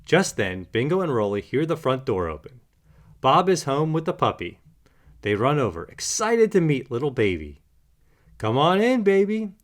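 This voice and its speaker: A man reads a children's story aloud. His medium-pitched voice swings widely and expressively, in the manner of animated picture-book narration. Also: normal speed.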